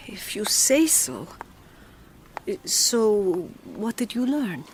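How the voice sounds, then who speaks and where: A woman's voice: mid-range voice, sing-song, very slow, a little hiss or hum, heavily acted. An actress playing an anxious, prim would-be mother in an audio drama, hesitant and dismayed. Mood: shocked, hesitant.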